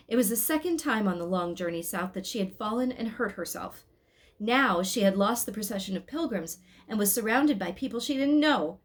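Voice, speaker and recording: Animated woman reading a story aloud. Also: mid-range voice. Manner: animated, addresses listeners with asides. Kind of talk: expressive informal read-aloud narration.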